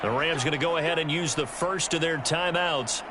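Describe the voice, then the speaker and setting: An American man voicing a professional football play-by-play announcer in a video game, with crisp scripted delivery that rises on big plays. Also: a little high, moderate pace, background noise, natural voice. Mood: brisk, informative.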